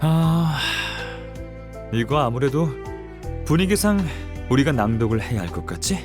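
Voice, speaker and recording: A man's voice: medium pitch, animated, unhurried, natural voice. An actor playing a smug, evasive young man in a Korean game, talking in a casual, taunting drawl. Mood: musing, teasing. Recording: music behind the voice.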